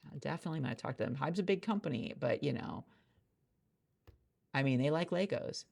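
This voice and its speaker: A woman doing a soft-spoken ASMR roleplay as a hiring manager, chatty and rambling with many restarts, recorded in a friend's office. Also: low voice, expressive, brisk, creaky.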